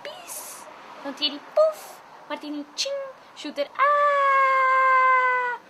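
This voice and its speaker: A woman's voice: very high voice, very animated, extremely slow, very noisy, voice pushed up, exaggerated voice. A woman playing a playful female stage clown who chants sing-song gibberish.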